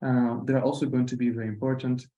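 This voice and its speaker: A man with a Costa Rican Spanish accent speaks in a mellow, relaxed voice through roomy webinar audio. He presents informally as a university representative, often hesitating and repeating his words. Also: medium pitch, fast talker, dull audio. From Costa Rica.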